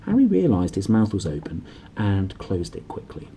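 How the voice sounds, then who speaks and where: A man reading a storybook aloud as an expressive narrator. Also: deep voice, moderate pace, breathy edge. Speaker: audiobook reader.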